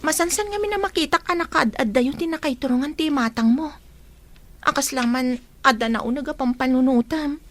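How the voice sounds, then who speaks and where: A woman's voice: sits high, fairly quick, close to an everyday voice. A woman with a Filipino accent playing a caring but anxious, suspicious young pregnant wife in a radio drama, with a plaintive, questioning way of talking. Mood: concerned. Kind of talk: Ilocano radio drama acting. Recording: music behind the dialogue.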